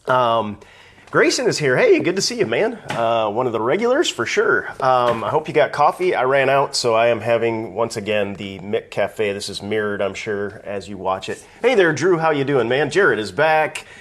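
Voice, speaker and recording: Male stream host, slightly raspy from allergies, chatty and ranting, greets viewers by name, casual webcam delivery. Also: mid-range voice, animated, normal speed, fairly bright tone. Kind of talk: casual live video chat.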